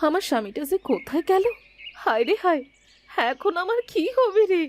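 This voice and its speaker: A woman voicing an anxious village wife in an animated story, lamenting in a plaintive way. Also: very high-pitched, moderate pace, a little hiss or hum. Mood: distraught. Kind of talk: animated cartoon story voice acting. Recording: music behind the voice.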